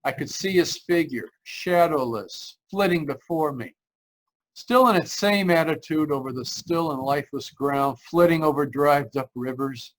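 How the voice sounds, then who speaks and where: An actor playing a frightened, desperate young driver in an old-style radio drama, halting and repeating his phrases. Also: mid-range voice, natural voice, low-quality recording. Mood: dread, unravelling. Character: frightened, desperate young man driving cross-country, haunted. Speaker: actor narrating and playing the lead. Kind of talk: 1940s radio drama acting. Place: remote live performance over video call.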